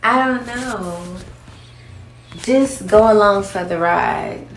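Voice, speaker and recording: A woman's voice: mid-range voice, slow pace. A woman playing an evasive, controlling travel companion in an amateur radio drama, with a cryptic, commanding manner. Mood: coolly insistent.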